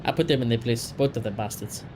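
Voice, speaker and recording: A man chatting casually on a livestream, reacting and ranting in an animated, blunt, sweary way. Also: medium pitch, fast talker, slight background noise. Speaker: live streamer.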